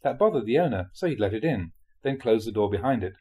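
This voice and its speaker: A man reading a spiritual talk aloud in a measured, explanatory way, often posing rhetorical questions. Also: lowish voice, expressive, mellow, slightly rough. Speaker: monk reading dharma talks aloud.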